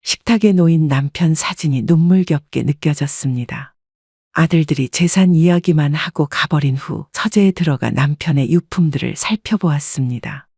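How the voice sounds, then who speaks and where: Calm, breathy woman narrator. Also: low voice, no echo, clean studio sound. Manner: calm, measured. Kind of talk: first-person story narration.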